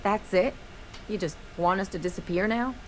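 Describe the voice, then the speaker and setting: An actress playing a brash, bitter young adventurer in a video game, with a sardonic, theatrical way of telling stories. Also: female voice, mid-range voice, normal speed, slight background noise, natural voice, poor audio. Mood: indignant. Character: brash young adventurer woman, bitter, dramatic, estranged from parents.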